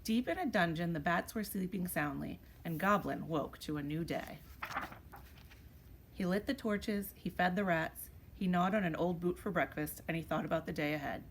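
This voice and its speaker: A woman's voice: fairly low pitch, moderate pace, a little hiss or hum. A woman teacher reading a children's storybook aloud expressively.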